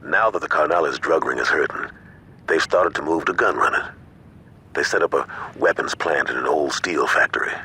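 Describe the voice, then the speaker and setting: An actor playing a gang strategist giving a firm, matter-of-fact mission briefing in a video game. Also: male voice, a bass voice, normal speed, bright, forceful tone, slight background noise, exaggerated voice. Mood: firm, instructive.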